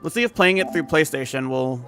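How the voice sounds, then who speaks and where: A man's voice: medium pitch, fairly quick, fairly bright tone, faint music behind. A man from the United States live-streaming video games, chatting casually in a rambling, informal way. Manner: rambling, informal, mild swearing.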